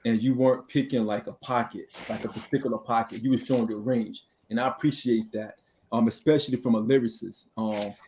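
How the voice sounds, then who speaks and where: A man's voice: mid-range voice, steady tone, brisk, a little mellow, slight background noise. A man co-hosting a podcast, easygoing and asking the guest questions. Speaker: podcast co-host. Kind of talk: casual interview chat.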